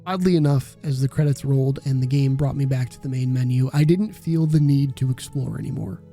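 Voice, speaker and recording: A man's voice: medium pitch, steady tone, brisk, mellow, soft background music. A man in his twenties giving an earnest, reflective scripted voice-over narration for a video essay. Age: 20s. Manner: earnest and contemplative.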